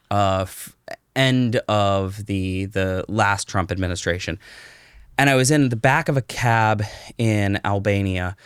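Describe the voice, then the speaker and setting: A man with a clean, resonant voice on the lower side speaks close to a podcast mic in a dry room. He tells and explains things conversationally, often searching thoughtfully for the next word. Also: clear, resonant tone, no room sound. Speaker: podcast guest. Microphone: close podcast microphone.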